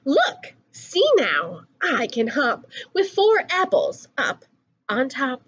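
A young adult woman reading a rhyming picture book aloud to children in an expressive, singsong way. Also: high voice, takes it slowly, husky, dull audio. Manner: animated, singsong rhyming delivery. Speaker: children's story reader.

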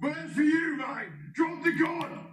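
A man voicing a goofy hot dog superhero with a put-on twang, talking in a slow, hammy way. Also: very high voice, fairly bright tone, roomy sound, distant mic. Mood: commanding. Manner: slow, hammy, over-the-top.

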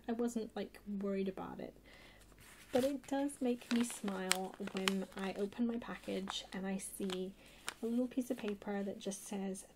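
A soft-spoken woman chatting casually in a rambling way for an ASMR haul video. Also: mid-range voice, poor audio. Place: indoors at a table, hands-only camera. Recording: clear background hiss or noise.

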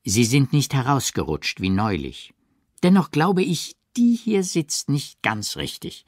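Shy, coaxing gentleman in a dry studio voiced by a man. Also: mid-range voice, very animated, measured pace, no room sound, pitched above the performer's own voice. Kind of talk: audiobook character dialogue.

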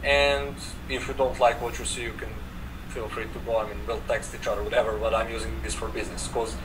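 Serbian-accented young man, relaxed interview guest on a video call, mic set back, steady room hum. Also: lowish voice, a little fast. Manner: relaxed, frequent "like" fillers, gestures while talking. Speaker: guest, affiliate marketer. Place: home room indoors. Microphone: video call webcam. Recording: distant webcam mic with air conditioner hum.

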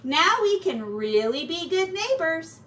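A woman's voice: very high-pitched, very animated, a little slow, pushed and bright, pitched above the performer's own voice, heavily acted. A middle-aged woman voicing a cheerful, pushy, oblivious male pack rat in a storybook, with bouncy exclamations. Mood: breezy, cheerful. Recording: roomy, distant camera mic, faint noise.

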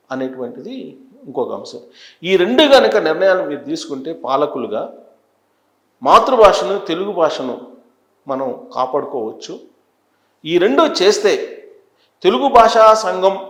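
A man gives unscripted news commentary as a presenter, deliberate and emphatic, repeating phrases for stress. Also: a little high. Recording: echoey, roomy sound.

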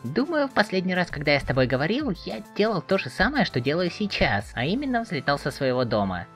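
A woman's voice: on the low side, quick pace, soft background music. A woman playing an eager, naive teenage boy, chatty and inquisitive, in a dramatic webcomic reading. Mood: cheerful. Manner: excitable, inquisitive, expressive.

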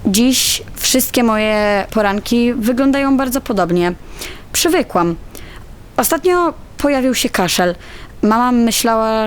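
A woman's voice: medium pitch, smooth, close to an everyday voice. A young actress playing an insecure teenage girl who narrates her inner thoughts as a confession in an audio drama. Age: teens to young adult. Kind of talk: introspective first-person dramatic narration. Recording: music under the voice.

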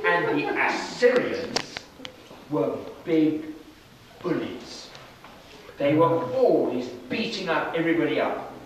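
Animated storytelling man in an echoey room. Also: mid-range voice. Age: middle-aged. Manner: slow, animated, with pauses and questions to the children. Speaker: storyteller for a children's group. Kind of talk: interactive Bible storytelling to young children. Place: children's classroom with seated young audience. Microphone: distant camera at back of room. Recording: echoey, noisy room recorded from far off.

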